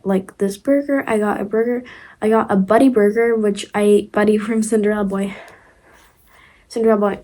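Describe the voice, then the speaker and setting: A woman's voice: mid-range voice, mellow. A young woman with a Ukrainian accent talks straight to her viewers in a loose storytime vlog. She rambles and restarts often, with lots of "um" and "like" and giggly asides. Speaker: vlogger.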